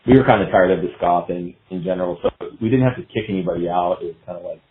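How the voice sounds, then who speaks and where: Male interview guest, very low voice, casual and meandering, roomy lo-fi recording. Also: racing. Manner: hesitant, meandering, filler-heavy. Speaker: guest musician, guitarist. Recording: dull lo-fi roomy call sound.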